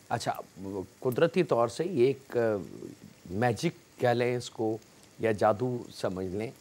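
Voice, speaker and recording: A man's voice: on the low side, a little mellow, breathy edge. Pakistani man explaining a recipe step by step. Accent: Pakistani Urdu. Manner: addresses viewers politely as janab, explains step by step. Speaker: cooking show host. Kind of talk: cooking demonstration with explanation.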